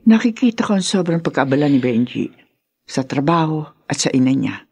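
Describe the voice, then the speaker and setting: Dark, husky, disapproving grandmother. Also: low-pitched, sing-song, a little fast, dry recording, full character voice. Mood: reproachful. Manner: blunt, reproachful. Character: worried, blunt, disapproving older grandmother. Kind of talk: Filipino radio drama acting.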